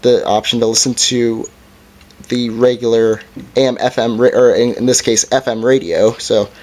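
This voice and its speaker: A man's voice: medium pitch, steady tone, normal speed, noisy background. A man giving a casual hands-on product review as its presenter, in an informal, hesitant and somewhat apologetic way.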